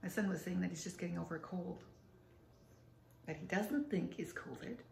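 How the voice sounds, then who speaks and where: A raspy, gravelly-voiced woman in her sixties rambles through her day in a plain, matter-of-fact tone. She is recorded on a close camera in a roomy living room with a faint hiss behind her. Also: fairly low pitch, normal speed, a little mellow. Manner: rambling, matter-of-fact, snacking while talking. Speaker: vlogger. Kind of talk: casual chat to camera. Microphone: camera close up on a table.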